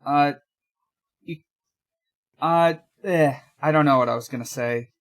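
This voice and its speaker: A podcast host talking casually in a halting, hesitant way, often pausing and trailing off. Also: mid-range voice. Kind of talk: casual podcast discussion and recap.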